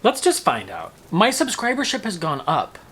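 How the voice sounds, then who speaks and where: A man's voice: high voice, wildly swooping pitch, normal speed, fairly bright tone, slightly rough. A man talking casually and emphatically in a book review vlog, often musing aloud and second-guessing himself.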